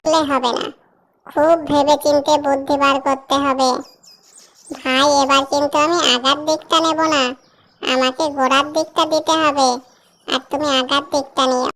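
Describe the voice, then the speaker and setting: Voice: high voice, level tone, normal speed, fairly bright tone, pitched above the performer's own voice, rough recording. A narrator voicing a big, gullible, scheming crocodile in a children's cartoon folktale, chatty and whiny.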